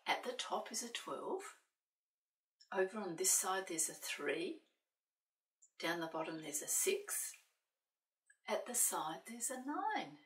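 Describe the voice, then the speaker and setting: A woman's voice: medium pitch, sing-song, slow pace, pauses often. An older woman presenting a children's storytime, reading a picture book in a playful sing-song way with questions to her young listeners. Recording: roomy echo, distant microphone.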